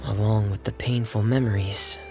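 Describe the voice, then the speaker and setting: A man voicing a gentle, earnest, hesitant young man in a video game, speaking sincerely. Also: on the low side, fairly even intonation, normal speed, close to an everyday voice. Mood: somber. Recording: music behind.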